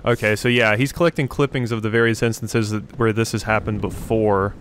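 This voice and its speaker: A man's voice: on the low side, steady tone, fairly quick, fairly bright tone, slight background noise. A man giving casual let's play commentary, thinking aloud as he goes. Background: game ambience.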